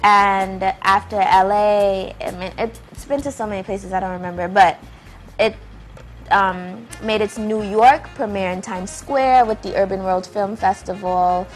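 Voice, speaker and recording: A woman's voice: mid-range voice, steady tone, measured pace, soft background music, low-quality recording. A young woman from Trinidad and Tobago, raised mostly in the United States, chatting informally as an interview guest. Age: young adult. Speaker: playwright and filmmaker, interview guest. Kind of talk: casual conversational interview.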